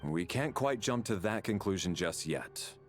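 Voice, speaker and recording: A stern investigator in a game story. His very deep voice is measured and formal, keeping firm control, with soft music underneath. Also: lively intonation. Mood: composed, firm. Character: stern, composed, methodical male investigator. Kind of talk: video game voice acting. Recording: music behind the voice.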